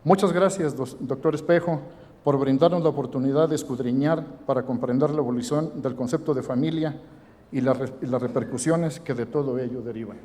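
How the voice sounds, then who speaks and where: A man's voice: medium pitch, warm tone. Man reading a formal speech in a hall. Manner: measured, formal. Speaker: event presenter. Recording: reverberant hall.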